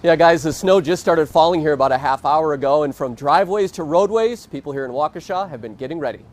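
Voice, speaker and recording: Male American TV reporter, slightly high voice, scripted news delivery, faint outdoor traffic noise. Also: fast talker. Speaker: TV field reporter.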